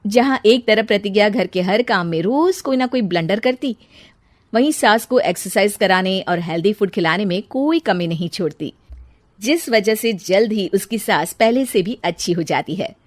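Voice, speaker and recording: A woman's voice: medium pitch, expressive, normal speed, no room sound. A woman reading scripted story narration.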